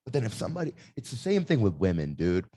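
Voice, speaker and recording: A man's voice: on the low side, wildly swooping pitch, quick pace, mellow, dry recording, clean studio sound. A man hosting a casual comedy podcast, rambling and provocative, with lots of "like" and filler. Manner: rambling, provocative, heavy use of "like". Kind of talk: casual chat.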